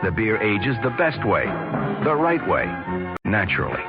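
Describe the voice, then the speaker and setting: A man reading a vintage radio commercial, smooth and persuasive. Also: lowish voice, sing-song, moderate pace. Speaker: commercial announcer. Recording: dull old radio ad with loud music.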